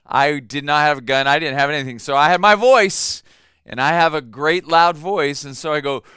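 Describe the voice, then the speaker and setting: A man's voice: a little high, moderate pace, bright, forceful tone, smooth, dry recording, studio quality. A man, a pastor, preaching a sermon in a conversational way, telling a family story with fillers and repeated words.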